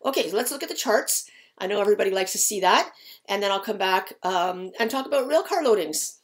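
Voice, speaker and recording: A woman's voice: mid-range voice, expressive, brisk. A middle-aged woman giving informal market commentary in a conversational, explanatory way. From Canada. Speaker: lumber market analyst and host. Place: small indoor office with shelves of files.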